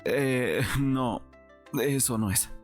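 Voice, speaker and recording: A man's voice: mid-range voice, very slow, soft background music. A man playing a suspicious, embarrassed young nobleman in a dramatized audiobook, questioning and protesting. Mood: flustered.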